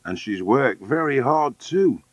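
A man's voice: medium pitch, expressive, measured pace, no echo, highs cut off, voice pushed up. A man voicing a lazy, scheming adoptive father in a children's storybook, wheedling and mock-polite. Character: sly, lazy, falsely sweet adoptive father.

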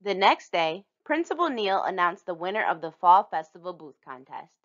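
A woman reading a children's picture book aloud in an expressive storytelling manner. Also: lowish voice, normal speed, muffled recording. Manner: animated, storytelling delivery for young children.

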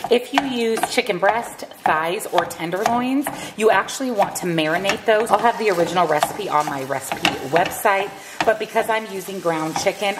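A woman's voice: medium pitch, echoey room, background noise. A woman talks through a meal prep recipe in an upbeat, step-by-step way. Her voice carries the hollow ring of a home kitchen, with faint sounds behind her. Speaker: cooking vlogger.

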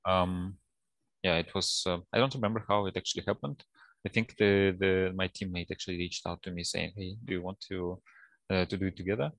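A man in his thirties, a native Russian speaker with a Russian accent, talking casually and haltingly as an interview guest over a headset. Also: low voice, steady tone, a bit bright, no room sound, poor audio. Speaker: guest, data science professional. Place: office, indoors, on a video call.

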